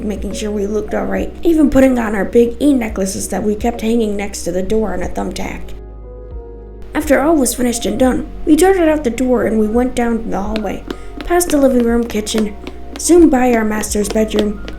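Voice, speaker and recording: A woman's voice: fairly high pitch, creaky, dark tone, distant mic. A woman narrating a scripted first-person story as its storyteller. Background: music.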